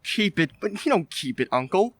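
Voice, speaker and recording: A man playing a cheerful, warm-hearted nephew in a radio play, speaking earnestly and upbeat. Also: high voice, big pitch swings, moderate pace, husky, heavily acted. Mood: teasing, earnest. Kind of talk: old-time radio play acting.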